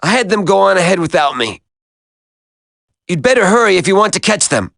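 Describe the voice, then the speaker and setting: A man's voice: sits high, very animated, fairly quick, creaky, a bit bright, no room sound, clean studio sound, exaggerated voice. A man voicing a brave, injured young soldier in a video game, strained but reassuring. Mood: pained, brave.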